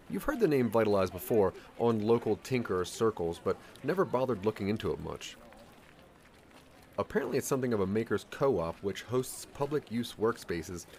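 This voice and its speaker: A man narrating an interactive audio drama in the second person, with expressive storytelling. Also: on the low side.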